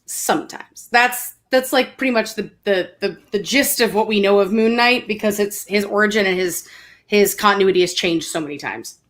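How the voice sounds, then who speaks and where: A woman guest chatting casually on a panel, explaining in a halting, stop-start way and repeating words. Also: medium pitch, a bit bright. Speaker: guest on a geek culture panel show. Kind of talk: casual chat.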